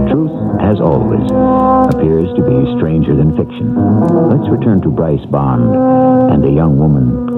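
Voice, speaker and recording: A man's voice: extremely low pitch, narrow, tinny audio. A man hosting and narrating a radio drama with portentous, rhetorical delivery. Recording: old AM radio broadcast with music bed.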